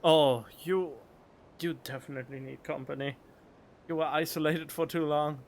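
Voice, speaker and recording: A man's voice: fairly high pitch, steady tone, moderate pace, slight background noise. A young man streaming a video game, giving casual reaction commentary with exclamations and joking asides on a close microphone. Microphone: close studio condenser microphone.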